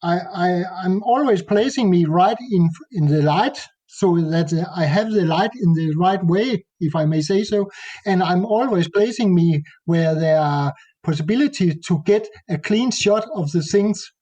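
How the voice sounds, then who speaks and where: A Danish man speaking English with a Danish accent, talking haltingly in a casual interview and often pausing to search for words. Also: high voice, warm tone. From Denmark. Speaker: nature photographer guest.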